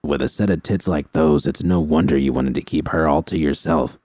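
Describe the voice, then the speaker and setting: A man narrating an audiobook puts on a menacing male gang boss. The voice is deep and shadowy, with a close, dry sound. He leers and mocks, drawing out his sneering taunts. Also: a little mellow, dry recording. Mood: menacing leering. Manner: taunting, sneering, drawn-out talk. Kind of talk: audiobook character dialogue.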